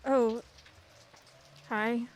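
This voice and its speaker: A withdrawn seventeen-year-old girl, voiced by a young actress, fairly high in pitch and clipped in her answers. Radio-play delivery. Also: drawn-out words, a little hiss or hum. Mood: sullen. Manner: terse, flat, withdrawn.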